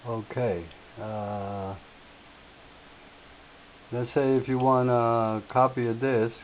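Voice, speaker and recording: A man with a slightly raspy voice, hosting a software tutorial and explaining each step in an unhurried way with filler words. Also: mid-range voice, fairly even intonation. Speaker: tutorial host. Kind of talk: screen-share software tutorial. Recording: tinny lo-fi audio with faint hiss.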